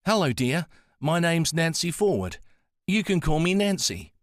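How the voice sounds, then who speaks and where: A man voicing a gentle, determined older woman with a soft Antipodean accent, coaxing and soothing. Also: on the high side, sing-song, a little slow, no room sound, studio quality, pitched above the performer's own voice. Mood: friendly. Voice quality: soft-spoken.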